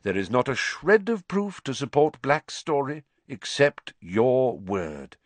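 A wise old headmaster, read by a male narrator, speaks slow, calm and quiet. The voice stays mid-pitched and lively in its turns, close and dry. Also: no echo.

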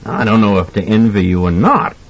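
Audiobook part: jovial avuncular mentor, acted by a male narrator, husky and colloquial, lively intonation, lo-fi recording. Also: on the low side, brisk. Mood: amused, advising. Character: jovial, kindly older man, folksy mentor. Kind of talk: audiobook character acting.

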